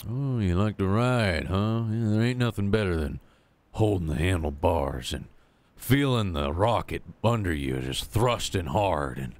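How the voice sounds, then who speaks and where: A man's voice: sits low, animated, measured pace, darkish tone, no room sound. A man playing a flirtatious biker in an improvised online game role-play, giving coy, suggestive compliments. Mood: curious, flirty. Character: smooth, flirtatious male biker, admiring and coy.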